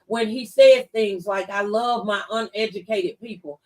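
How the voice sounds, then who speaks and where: A woman's voice: mid-range voice, a little slow, a little mellow, rough recording. A woman in a casual political discussion on a talk show, speaking conversationally.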